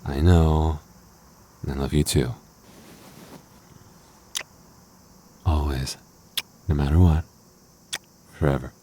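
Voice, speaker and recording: A man's voice: extremely low pitch, steady tone, unhurried, warm tone, a little hiss or hum. A man playing a clingy, jealous boyfriend in an intimate audio roleplay, stammering and mock-indignant but affectionate. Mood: tender, teasing.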